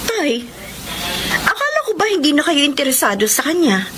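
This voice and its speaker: High-voiced, heartbroken young Filipina daughter. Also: female voice, sing-song, brisk, noisy background. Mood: hurt, resigned. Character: heartbroken, dutiful young daughter, resigned to losing her love. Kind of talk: Filipino radio romance drama acting.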